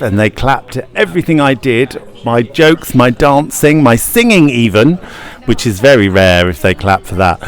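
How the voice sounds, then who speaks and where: A man's voice: medium pitch, clear, resonant tone. A man, a pantomime actor, answering interview questions in a chatty, enthusiastic way.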